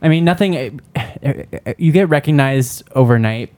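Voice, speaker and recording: A man's voice: medium pitch, animated, normal speed, darkish tone, dry recording. A man co-hosting a podcast, teasing the guest and asking short questions. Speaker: podcast co-host. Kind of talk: casual podcast chat.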